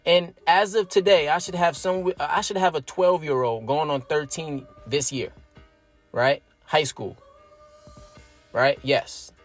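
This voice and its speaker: A thirty-year-old man talks straight to his viewers in a fairly high voice, building his argument point by point and tagging it with quick check-ins. Soft music plays faintly underneath. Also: steady tone. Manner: emphatic, explains step by step, keeps asking "right?". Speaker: vlogger. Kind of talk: casual opinion monologue.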